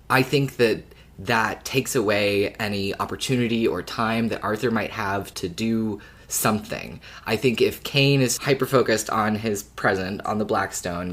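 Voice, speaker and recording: A man co-hosting a podcast, explaining his views casually in a measured way. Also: lowish voice, fairly bright tone. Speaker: podcast co-host.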